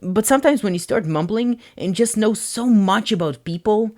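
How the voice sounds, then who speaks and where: A man voicing a kind, frank teenage girl with an earnest, deliberate way of talking in an audio story. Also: sits high, a little mellow, pitched above the performer's own voice, lightly acted. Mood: sincere, serious.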